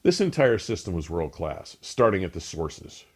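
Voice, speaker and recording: Low-voiced man, animated scripted product review. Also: normal speed, slightly rough. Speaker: audio equipment reviewer and show host.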